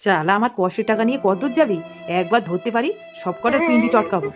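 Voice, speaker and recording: A hot-tempered cartoon father played by a man with a highish voice. He scolds and threatens in quick, crude bursts, full of bluster, while music plays clearly behind him. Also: expressive. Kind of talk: comic cartoon dialogue.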